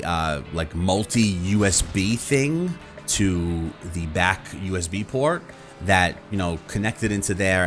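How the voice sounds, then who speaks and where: A man's voice: sits low, fairly bright tone. A man talking casually and conversationally to his viewers as a video host, rambling and acting out imagined reactions. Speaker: YouTube host.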